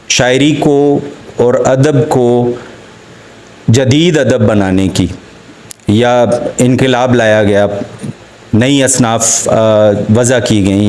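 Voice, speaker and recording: A man lectures on literature in a Pakistani accent. His voice sits at a medium pitch and moves slowly. He is formal and deliberate, often halting mid-sentence before carrying on. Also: a little mellow, poor audio. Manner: halting, deliberate, with frequent hesitations and pauses. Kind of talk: formal academic lecture with poetry quotations.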